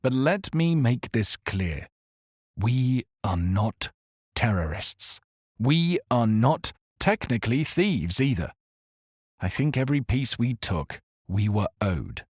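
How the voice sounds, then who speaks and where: An actor playing an earnest, weary exiled therapist, speaking solemnly and deliberately in a dramatic audio-fiction monologue. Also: male voice, medium pitch, very animated, husky, dry recording, studio quality, heavily acted. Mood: solemn, defiant pleading.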